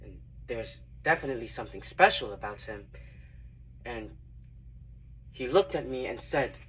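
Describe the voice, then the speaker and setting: A young man playing a reverent, earnest disciple who recounts his memories in a halting, reflective dramatic monologue. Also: mid-range voice, lively intonation, fairly bright tone, distant mic, a little hiss or hum, natural voice, rough recording. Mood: reflective, humble. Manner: halting, reflective, with long pauses.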